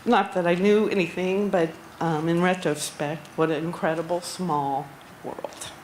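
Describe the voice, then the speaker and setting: A woman giving a memorial tribute at a gathering, speaking haltingly with frequent pauses and ums. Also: on the low side, fairly even intonation, a little mellow, slight background noise, poor audio. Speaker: eulogy speaker. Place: outdoor barn gathering.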